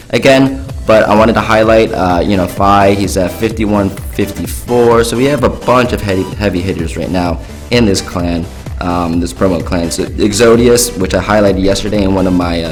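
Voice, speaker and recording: A young man's lowish voice, hoarse and raspy as if he is losing it, talking over gameplay in a loose, chatty way full of fillers. Faint music plays under him. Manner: chatty, filler-heavy, upbeat. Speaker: gaming YouTuber. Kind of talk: casual game commentary.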